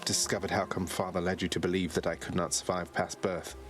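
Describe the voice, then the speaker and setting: A man playing a defiant but courteous young prince in a video game, speaking in a measured, wry way. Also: sits low, flat delivery, soft background music, close to an everyday voice. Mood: earnest, explaining. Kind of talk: dramatic video game acting.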